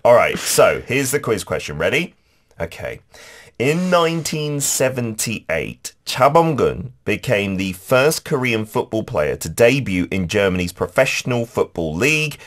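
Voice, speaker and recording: Male radio host with a lively, animated delivery. He teases his guest and laughs easily. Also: medium pitch, unhurried. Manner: lively, laughs often, playful teasing. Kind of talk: casual radio chat. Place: radio studio.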